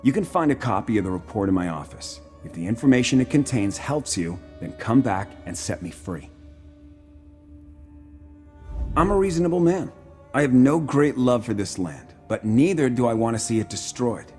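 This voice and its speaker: An actor playing a proud, shrewd Imperial military commander in a video game, speaking formally and with dry self-assurance. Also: male voice, mid-range voice, sing-song, brisk, a little mellow, natural voice. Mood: bargaining, composed. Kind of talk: video game character dialogue. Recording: music playing behind the voice.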